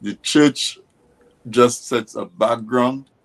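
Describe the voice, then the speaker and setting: A man hosting an interview, explaining his points in a measured, deliberate, conversational way. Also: mid-range voice. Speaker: interview host.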